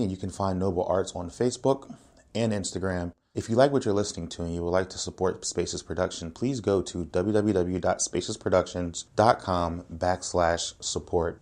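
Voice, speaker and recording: A man's voice: deep voice, darkish tone. A man reading a scripted introductory announcement for a podcast.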